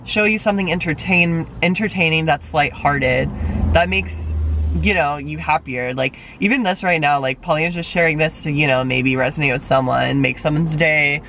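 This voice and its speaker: Young man, slightly high voice, filler-heavy casual chat from a parked car. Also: normal speed, creaky, pushed and bright, slight background noise, rough recording. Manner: chatty, filler-heavy, supportive. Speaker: friend and co-host.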